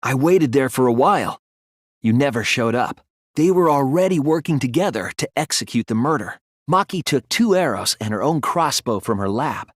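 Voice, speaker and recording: A man plays a young male detective in a dramatic English game dub. His medium-pitched voice moves expressively as he reasons with earnest, quiet resolve. The delivery is articulate and deliberate, recorded completely dry. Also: expressive, no room sound, close to an everyday voice. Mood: earnest, analytical. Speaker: video game voice actor.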